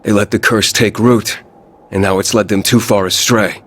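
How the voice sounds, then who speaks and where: An actor playing a confident, easygoing fighter with a proud, warm heart in a video game, speaking in an assured way. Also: male voice, lowish voice, fairly even intonation, moderate pace. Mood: regretful.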